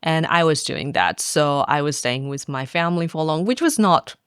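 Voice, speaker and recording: A woman's voice: sits low, lively intonation, normal speed, a bit bright, clear, resonant tone, no room sound, studio quality. A woman from Japan, a faculty member chatting in an interview, laughing often and filling with "like". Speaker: faculty guest. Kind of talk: casual interview chat.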